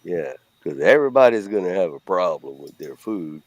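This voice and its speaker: A man's voice: low voice, big pitch swings, normal speed, dry recording. A middle-aged man with a Cajun accent, chatting casually as a co-host in a blunt, rambling way. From Louisiana. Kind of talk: casual chat.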